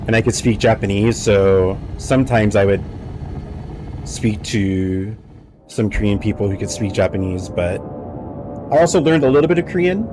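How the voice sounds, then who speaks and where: Lowish-voiced man chatting while gaming. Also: moderate pace, background noise. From United States. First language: English. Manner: rambling, anecdotal, animated storytelling. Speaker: streamer. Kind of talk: casual live-stream chat while gaming.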